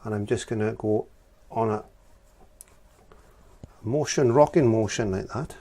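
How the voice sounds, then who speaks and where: A middle-aged man from Wales with a croaky voice from laryngitis, talking plainly step by step as he teaches acrylic painting. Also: on the low side, a little slow, warm tone. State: hoarse from laryngitis. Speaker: art teacher. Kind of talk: painting tutorial.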